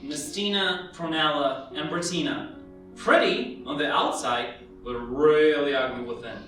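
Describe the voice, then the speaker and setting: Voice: mid-range voice, measured pace, fairly bright tone. A narrator performing a scripted fairy tale in a readers theatre style. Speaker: narrator who also voices the prince. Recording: very echoey room, distant, music behind.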